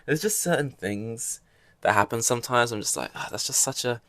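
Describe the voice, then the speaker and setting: A man's voice: medium pitch, a little fast, a bit bright, dry recording. A young man hosting a casual solo podcast, rambling with wry asides and a slightly scratchy throat. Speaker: podcast host.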